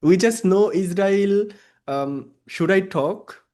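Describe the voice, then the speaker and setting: A man's voice: sits high, slow pace, dark tone. Bangladeshi man, hesitant English. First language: Bengali. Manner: hesitant non-native English with many fillers. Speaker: freelance service provider. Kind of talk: client meeting on video call. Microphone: video call.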